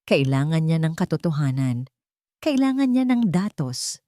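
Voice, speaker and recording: A woman narrating a scripted dramatic story in deliberate, suspenseful short phrases. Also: deep voice, big pitch swings, warm tone.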